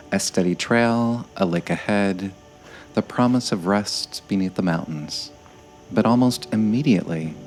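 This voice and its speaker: A man narrating a scripted guided meditation in a gentle, measured way, with frequent pauses. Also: deep voice.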